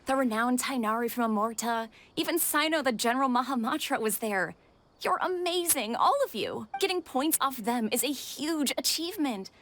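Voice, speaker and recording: Peppy supportive student girl in a game, highish voice, kinda pushy encouraging. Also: expressive, normal speed, a bit bright. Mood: admiring. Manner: encouraging, insistent. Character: supportive, brisk, upbeat young female student. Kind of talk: video game voice acting.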